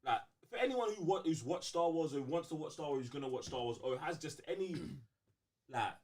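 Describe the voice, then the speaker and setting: A man with a London accent and a slightly high voice co-hosts a casual fan debate. His pitch moves in a very lively way. He talks in emphatic slang and often repeats a phrase to drive it home. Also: brisk, a little hiss or hum. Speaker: co-host of a fan talk show.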